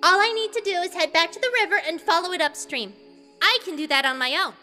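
A woman's voice: very high-pitched, fast, bright, forceful tone, big-room sound, voice pushed up. A woman voicing a haughty, defiant young water-creature princess in an audiobook story, screeching and scornful in manner, with music behind. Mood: angry defiance.

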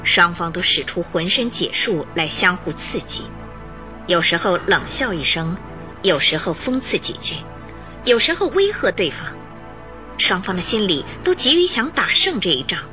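A woman narrating a radio detective drama in a measured, dramatic storytelling manner. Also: fairly low pitch, big pitch swings. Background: music.

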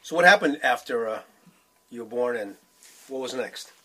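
Husky-voiced male podcast host. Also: mid-range voice, animated, moderate pace, pushed and bright, poor audio. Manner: short prompts and follow-up questions. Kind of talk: interviewing.

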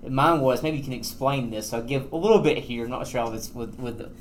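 A man's voice: medium pitch, fast, a little hiss or hum. Easygoing male podcast host. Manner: easygoing, brief affirmations. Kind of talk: casual podcast conversation.

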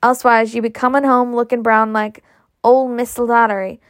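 A woman's voice: on the high side, flat delivery, moderate pace, no echo, studio quality, natural voice. A woman putting on a bossy older nursemaid. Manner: scolding, drawling folksy speech.

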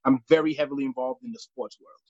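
A man's voice: medium pitch, steady tone, a little mellow, dry recording, highs cut off. A man in his late thirties from the United States, chatting casually as an interview guest and often repeating his words. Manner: halting, repeats words and phrases. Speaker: podcast guest, sports referee.

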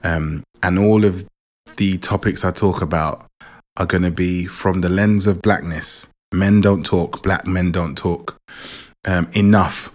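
A Jamaican-born man raised in England, hosting his own podcast in a relaxed, rambling way and often repeating words. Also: low voice, unhurried. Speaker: podcast host. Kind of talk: casual personal monologue.

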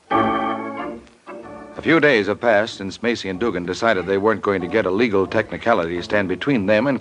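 A man's voice: lowish voice, rough recording. A man announcing and narrating in scripted old-time radio style, polished and emphatic.

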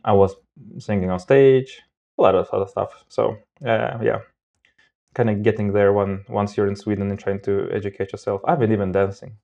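Man, low pitch, very mellow tone, casual interviewee, halting word choice. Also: steady tone, vocal fry, muffled recording. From Moldova. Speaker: podcast guest.

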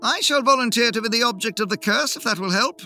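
A man reading the part of a bold, fearless Victorian gentleman who speaks up eagerly. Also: very high voice, expressive, quick pace, faint music behind, voice pushed up, exaggerated voice.

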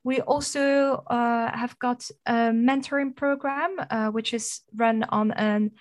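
A woman from Belgium with a French accent, speaking as a guest in an interview, measured and with frequent fillers. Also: fairly high pitch, fairly even intonation. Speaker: guest, sustainability consultant. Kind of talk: conversational interview.

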